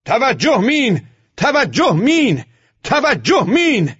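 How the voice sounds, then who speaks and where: Man acting a husky, barking army sergeant. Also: lowish voice, pitched above the performer's own voice. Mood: alarmed shouting. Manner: urgent, barking, forceful orders. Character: hot-tempered commanding army sergeant shouting warnings. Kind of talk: dramatized war story narration. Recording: muffled, echoey room recording.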